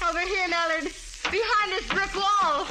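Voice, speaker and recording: A woman's voice: very high-pitched, fairly even intonation, moderate pace, over music. An actress in 1940s radio style playing a trapped female private eye calling urgently for help. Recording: noisy, piercing vintage radio.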